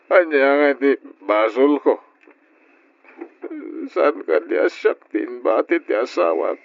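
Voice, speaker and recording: A dull, lo-fi old radio recording of a sorrowful middle-aged father who drinks. His pitch swings widely, and he drags out his words with heavy, tender feeling. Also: lively intonation, creaky. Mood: pleading, bitter. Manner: drawn-out, emotional delivery. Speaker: radio drama actor. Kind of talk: old Ilocano radio drama acting.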